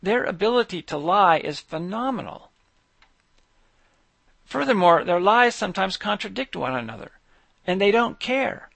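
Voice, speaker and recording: A man reading a prepared monologue in a measured, even delivery. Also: high-pitched, gravelly, no echo. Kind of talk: scripted monologue read aloud.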